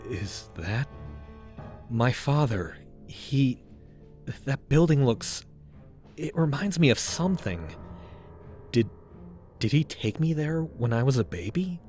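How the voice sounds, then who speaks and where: A man's voice: mid-range voice, very animated, slow pace, pauses often, a little mellow, faint music behind. A man playing a cocky, suave young raccoon master thief in a comic dub, with wry storytelling and a wistful streak. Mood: wistful, shaken. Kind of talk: comic dub voice acting with narration.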